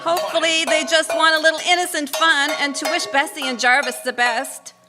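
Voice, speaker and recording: Woman as genteel fretful mother, high voice, period radio drama, pots and music clattering behind. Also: steady tone, a little fast, lightly acted. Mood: worried, hopeful. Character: anxious, genteel Victorian mother of the bride. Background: music and clanging pots and pans.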